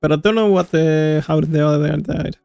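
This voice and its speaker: A woman's voice: low-pitched, a little fast, creaky, warm tone, no room sound. A woman doing casual Let's Play commentary, muttering blunt, sweary reactions to a game. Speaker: gaming streamer reacting to gameplay. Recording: game music behind voice.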